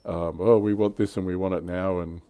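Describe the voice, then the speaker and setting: Low, mellow-voiced man hosting a chat. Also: fairly even intonation, fairly quick, clean tone, no echo. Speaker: host and reviewer on a tabletop wargaming livestream. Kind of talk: casual panel chat.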